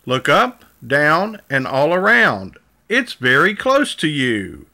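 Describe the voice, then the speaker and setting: A man's voice: on the high side, very animated, takes it slowly, creaky, pushed and bright, heavily acted. A man reading the part of a cheerful, helpful big dinosaur in a children's storybook, encouraging and sing-song.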